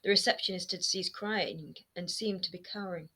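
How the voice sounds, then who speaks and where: A woman in her thirties, an author reading her own fantasy novel aloud at home in an expressive storytelling manner. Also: fairly low pitch, normal speed, breathy edge. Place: home room lined with bookshelves. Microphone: webcam framing, seated close to camera.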